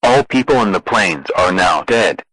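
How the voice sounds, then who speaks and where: A man with a synthetic, deadpan and formal delivery, reading a scripted emergency broadcast announcement. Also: deep voice, moderate pace, no room sound, poor audio.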